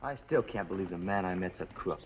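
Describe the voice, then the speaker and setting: An actor playing an earnest, polite, plain-spoken young nephew in an old television western. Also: male voice, low voice, a little fast, close to an everyday voice. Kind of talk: 1950s television western acting. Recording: muffled, noisy old TV western soundtrack.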